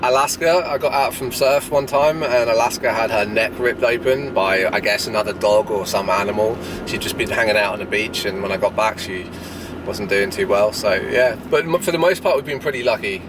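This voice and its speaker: Low-pitched man over vehicle noise. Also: brisk, distant mic. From Jersey, Channel Islands. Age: 30s. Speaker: travel vlog host. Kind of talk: casual question-and-answer chat while driving. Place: in a vehicle driving outdoors. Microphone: action or small camera in the vehicle. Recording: noisy moving vehicle, voice off the mic. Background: engine and road noise.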